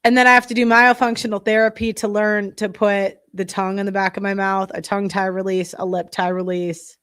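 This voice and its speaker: A woman's voice: medium pitch, a bit bright. A young woman with a nasal voice and heavy breathing, chatting bluntly and casually to viewers as a podcast host. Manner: rambling, blunt, many fillers. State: breathing heavily.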